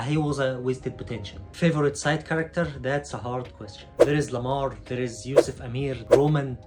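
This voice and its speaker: A man from Egypt with an Egyptian accent, a native Arabic speaker, answering viewer questions in a frank, chatty way, his phrases often trailing off quieter at the end. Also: a little high. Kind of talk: casual Q&A chat.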